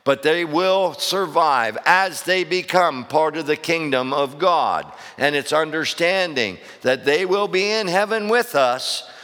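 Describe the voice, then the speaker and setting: Male preacher, a bit high and hoarse, calling out to the pews, booming in a reverberant sanctuary. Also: a little slow, hardly any pauses, fairly bright tone. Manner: hollering, call-and-response preaching. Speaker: pastor. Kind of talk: fiery sermon. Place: church sanctuary. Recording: long reverb of a church hall.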